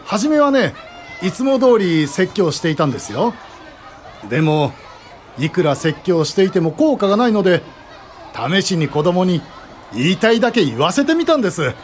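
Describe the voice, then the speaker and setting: 高い声で抑揚豊かな児童福祉士の男性. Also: male voice, high-pitched, moderate pace, some chatter behind, slight background noise. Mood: excited, grateful. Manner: excited, animated, polite. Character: eager, grateful male child welfare officer. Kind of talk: radio drama acting.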